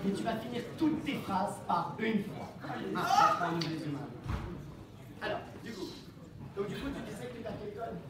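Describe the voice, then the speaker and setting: A man's voice: high-pitched, big pitch swings, a little fast, background noise. A man working as a stage hypnotist, giving suggestive commands to a volunteer during a live show in a large hall. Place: large hall at a school gala. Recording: echoey hall from far off, loud crowd. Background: large student audience.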